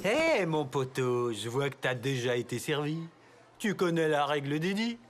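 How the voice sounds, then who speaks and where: A man's voice: fairly high pitch, very animated, moderate pace, loud music behind the voice. A man voicing a laid-back, chummy canteen rule enforcer in a cartoon, with slangy drawling talk. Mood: cool, chummy. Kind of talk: French animated series dubbing.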